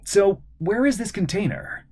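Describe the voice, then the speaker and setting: Man as a cheeky boy hero, high and eager. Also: animated, a little slow, mellow, breathy edge, heavily acted. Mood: confused. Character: clever, cheeky thirteen-year-old boy hero.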